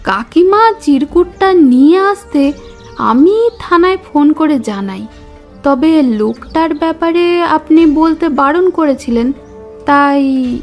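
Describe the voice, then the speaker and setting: Young female witness played by a woman, earnest and watchful, high-pitched, unhurried delivery, audio drama over music. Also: animated, muffled recording, full character voice. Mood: earnest, careful. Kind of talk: audio story acting. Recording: music bed under voice.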